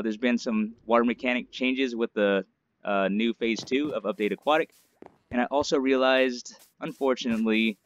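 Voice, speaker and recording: A man's voice: medium pitch, highs cut off. A man hosting a survival game series, chatting casually with lots of fillers while sounding stuffed up from allergies. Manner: chatty, rambling, many fillers. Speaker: gaming channel host. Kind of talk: casual let's-play commentary.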